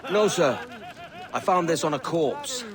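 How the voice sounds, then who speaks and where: An actor playing a cocky, ambitious young pirate with a wry, colloquial swagger in a video game. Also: male voice, on the high side, lively intonation, a little slow, crowd noise. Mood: cocky, scheming. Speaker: pirate captain. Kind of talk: naturalistic video game acting.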